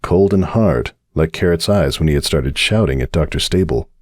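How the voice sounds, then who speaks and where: A man narrating a dramatic audiobook-style reading in an expressive storytelling manner, recorded dry and close. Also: a bass voice, normal speed, dry recording.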